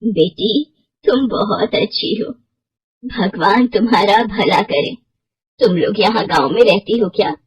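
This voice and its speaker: A woman's voice: mid-range voice, breathy edge, low-quality recording. A woman doing a weary, meek old village mother. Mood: grateful, weary. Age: older. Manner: slow, weary, submissive.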